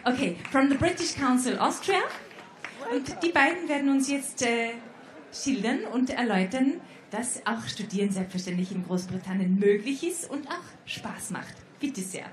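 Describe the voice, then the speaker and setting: A woman announcing the next presenters at an education fair, in a brisk, formal manner. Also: a little high, animated. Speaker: event announcer. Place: large echoey exhibition hall. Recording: echoey hall, faint background noise.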